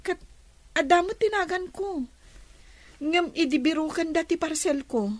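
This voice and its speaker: An actress playing a polite, earnest young daughter in a radio drama. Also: female voice, very high-pitched, measured pace, dry recording. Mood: troubled.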